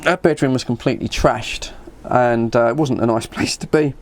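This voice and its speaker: A man in his fifties with an English accent, telling a casual anecdote in a stammering, animated way as a vlog host. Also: mid-range voice, normal speed. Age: 50s. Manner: animated, stammering, acts out dialogue.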